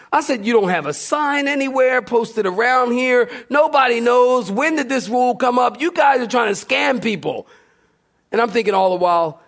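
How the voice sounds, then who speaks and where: A man, a pastor preaching through animated, humorous, self-deprecating storytelling, acting out dialogue as he goes. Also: very high voice. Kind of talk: sermon storytelling with re-enacted dialogue. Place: church, recorded live.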